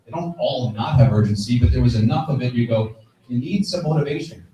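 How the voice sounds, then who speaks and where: A man speaking as a sports panelist in a lively live discussion, emphatic and repeating phrases for emphasis. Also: mid-range voice, a little fast. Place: live convention panel stage. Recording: very echoey room, distant from mic.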